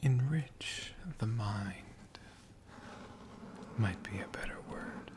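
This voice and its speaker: A man voicing a friendly, humble, bookish dragon in a whispered ASMR storytelling roleplay. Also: on the low side. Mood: hushed, friendly. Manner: soft whispering, slow and unhurried.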